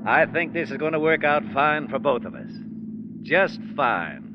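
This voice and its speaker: Animated, folksy middle-aged boss. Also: male voice, a little high, measured pace, loud music behind the voice. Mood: coaxing. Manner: folksy, coaxing, chatty. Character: affable, scheming middle-aged male mine manager. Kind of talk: 1940s radio drama acting. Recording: muffled old radio, car noise.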